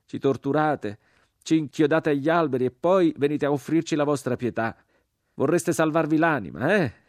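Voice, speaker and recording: A man's voice: medium pitch, expressive, a little slow, breathy edge, no echo, studio quality, voice pushed up. A man voicing a bitter, scornful crucified man in a dramatic literary reading, hurling taunting, declamatory questions. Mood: enraged, mocking. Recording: voice over music.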